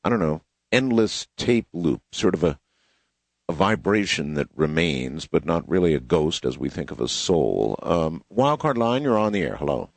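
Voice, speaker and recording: A man hosting a late-night call-in radio show, musing slowly on callers' stories. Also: low-pitched, big pitch swings, gravelly, dry recording. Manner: measured, musing, takes calls. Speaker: radio talk show host.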